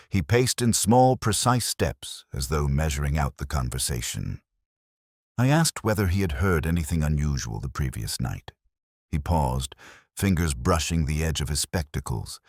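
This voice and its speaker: A very low, dry-recorded voice of a man acting as a brooding police inspector. He narrates a detective story in measured, reflective phrases. The delivery is literary and watchful, like a scripted audio drama. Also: no echo, full character voice. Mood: quietly suspicious. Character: brooding, observant English male police inspector narrating his case.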